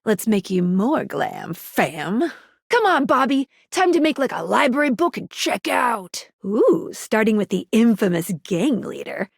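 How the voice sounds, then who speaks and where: The chirpy, wisecracking companion who hands out quests in a dating video game, swooping melodically, recorded close and dry. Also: female voice, fairly high pitch, unhurried, creaky, slightly rough, no room sound, full character voice. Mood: upbeat, teasing. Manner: playful, punning, animated. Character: perky, quippy, helpful female guide to dateable household objects. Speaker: voice actress playing a game guide character. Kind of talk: scripted video game character dialogue.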